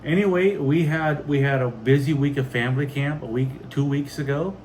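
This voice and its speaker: A man's voice: mid-range voice, moderate pace, darkish tone, rough recording. Casual American man chatting over background noise. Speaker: homestead vlog host. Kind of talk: casual chat reading viewer comments.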